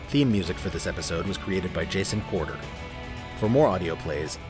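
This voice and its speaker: Low-voiced man announcing. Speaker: announcer, sound designer. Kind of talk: scripted credits narration. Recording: soft theme music behind.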